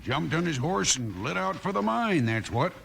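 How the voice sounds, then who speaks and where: A mean, sly cowboy outlaw with a folksy drawl. The voice is gravelly and forceful, with lively swings in pitch. It comes from an old radio western with light noise. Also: male voice, moderate pace, exaggerated voice. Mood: sly, goading. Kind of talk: 1950s radio western acting. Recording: noisy old 1950s radio transcription.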